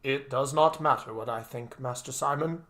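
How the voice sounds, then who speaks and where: Story role, read by a man: loyal butler, polite and composed, formal measured delivery. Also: mid-range voice, bright, forceful tone, lightly acted. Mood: dutiful, calm. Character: devoted, formal male butler, calm and dutiful.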